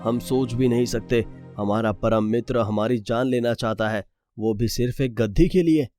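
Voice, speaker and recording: A man's voice: medium pitch, fairly even intonation, moderate pace, no room sound, clean studio sound, close to an everyday voice. A man voicing a trusting, ageing king who feels betrayed, in a formal, dramatic cartoon-story manner. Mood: troubled, loyal. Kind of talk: dramatic Hindi moral-story cartoon dialogue. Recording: loud music bed behind voice.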